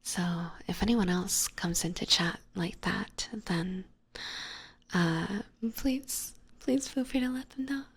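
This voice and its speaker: A young woman streaming ASMR, whispering softly into a close microphone as she chats with viewers. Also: mid-range voice, slow pace, slightly rough. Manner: soft whisper, reading and answering chat. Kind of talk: whispered ASMR livestream chat.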